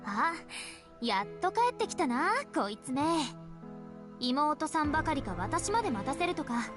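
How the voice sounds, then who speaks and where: A brash, teasing young woman in a visual novel. She is high-pitched and fast, swinging animatedly through her lines over game music. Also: sing-song. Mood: teasing complaint. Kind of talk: Japanese visual novel voice acting.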